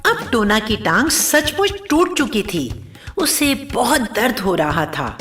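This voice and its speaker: A woman's voice: on the high side, very animated, slow, fairly bright tone, faint music behind. A woman narrating a scripted cartoon story as a storyteller.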